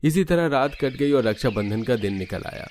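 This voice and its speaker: A man's voice: medium pitch, lively intonation, moderate pace, a little mellow, a little hiss or hum. A man reading scripted narration for a cartoon family story.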